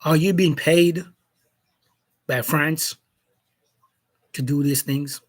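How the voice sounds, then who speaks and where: Slow, husky man from Jamaica. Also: a little high, steady tone. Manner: slow, deliberate, challenging questions. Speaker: commentator. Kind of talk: opinion monologue.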